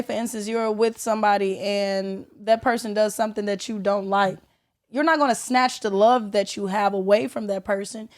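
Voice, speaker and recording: A young adult woman, a podcast guest talking in relaxed, chatty speech with fillers and the odd curse. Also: medium pitch. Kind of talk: casual chat.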